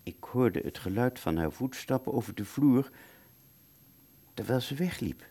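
An actor playing an anxious, confused wounded airman in a radio drama, speaking in an agitated, halting stammer. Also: medium pitch, expressive, warm tone, husky. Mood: uneasy. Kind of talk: Dutch radio drama, first-person narration and dialogue.

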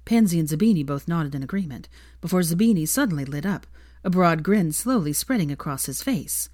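A woman's voice: deep voice, animated, a little fast, darkish tone, dry recording, studio quality. A woman reading fiction aloud as a narrator, in scripted storytelling style. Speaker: fan fiction reader, narrator.

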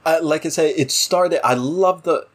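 A man's voice: fairly high pitch, measured pace. A man co-hosting a podcast, casually discussing television with opinionated, emphatic delivery. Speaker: podcast co-host.

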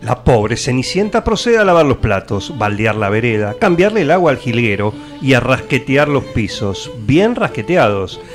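A man with an Argentine Rioplatense accent, narrating a radio play in a measured, mock-solemn scripted storytelling style. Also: medium pitch, sing-song, faint music behind.

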